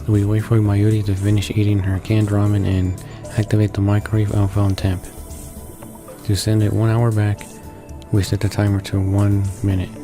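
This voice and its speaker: A man reads a visual novel aloud. His voice is fairly low and flat, and his delivery is halting with stumbles. Game music plays clearly behind him. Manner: halting, stumbles over words. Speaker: let's play reader. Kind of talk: reading game text aloud.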